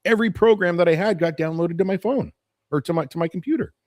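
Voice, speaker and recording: A man's voice: high-pitched, quick pace, darkish tone, no echo, clean studio sound. A man in his late forties hosting a morning live stream, chatting to viewers in a loose, rambling way about how things have changed. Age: 40s. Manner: chatty, nostalgic, wanders off topic. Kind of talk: casual rambling chat to viewers.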